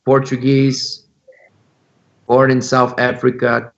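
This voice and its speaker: Male host, slow and halting, gravelly low edge, muffled lo-fi sound. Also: monotone. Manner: halting, filler-heavy questions. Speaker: interview host. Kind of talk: conversational interview.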